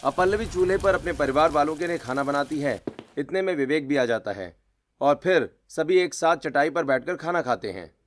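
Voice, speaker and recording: A man's voice: fairly high pitch, lively intonation, normal speed. A man reading scripted narration of a family story as the storyteller.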